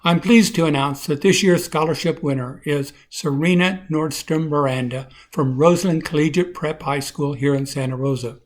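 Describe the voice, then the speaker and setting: A man giving a measured, formal prepared announcement of a scholarship to a congregation. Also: on the high side.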